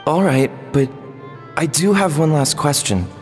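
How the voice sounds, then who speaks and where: A man's voice: fairly high pitch, expressive, unhurried, a little mellow. A man voicing a hesitant, earnest young tribesman of little standing in a video game. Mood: uncertain. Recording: long echo, music behind.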